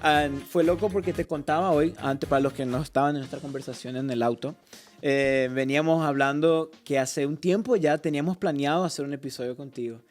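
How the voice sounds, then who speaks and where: A man's voice: on the high side, brisk, soft background music. A man hosting a faith podcast, upbeat, friendly and playful as he welcomes his guest. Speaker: podcast host.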